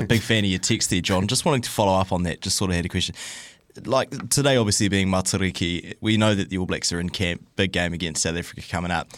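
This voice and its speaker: A man's voice: deep voice, fairly bright tone, no echo. A New man from the radio show's back room, asking a long, rambling question in a casual way. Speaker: radio show producer.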